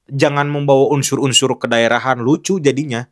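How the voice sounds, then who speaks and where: Young guy casually picking apart film acting, blunt, lots of ums and restarts, mid-pitched voice. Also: steady tone, brisk. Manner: frank and critical, loose with many fillers and restarts. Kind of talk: casual spoken commentary on film clips.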